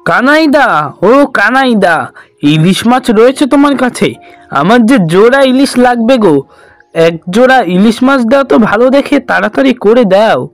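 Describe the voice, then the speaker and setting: A man's voice: very high-pitched, animated, normal speed, no room sound, faint music behind, pitched above the performer's own voice. A man doing an eager humble village father. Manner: deferential, eager.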